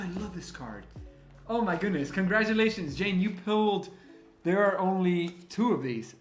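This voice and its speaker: A man with a somewhat high voice hosts a live-stream giveaway, bubbling with excitement and calling out viewers by name. Spanish was his first language, and he grew up in Miami. The room is echoey, and faint music plays underneath. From Miami, Florida, US. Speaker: livestream host running a card giveaway. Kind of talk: live-stream chat, counting out picks.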